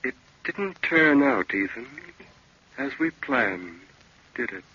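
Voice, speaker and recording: An actor playing an eerie, tempting phantom alter ego in a 1940s radio drama, on a dull old recording. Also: male voice, mid-range voice, animated, takes it slowly, bright, forceful tone, breathy edge, heavily acted. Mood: eerie, coaxing. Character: Ethan's eerie, slow, tempting second self.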